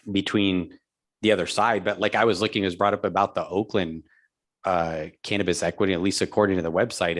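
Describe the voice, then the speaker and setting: Man, deep voice, city staffer, explanatory, thinking out loud. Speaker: city staff member advising council. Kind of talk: public meeting discussion.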